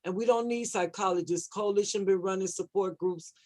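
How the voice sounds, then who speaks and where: A woman's voice: medium pitch, a little fast, darkish tone. A plain-spoken woman advocate giving informal remarks at an online panel, repeating points for emphasis. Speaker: support group advocate, panelist.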